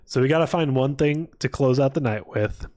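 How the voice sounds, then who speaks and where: A man hosts a casual livestream in a medium-pitched voice. He rambles irreverently to his chat and swears freely as he goes. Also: moderate pace, darkish tone. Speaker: live streamer.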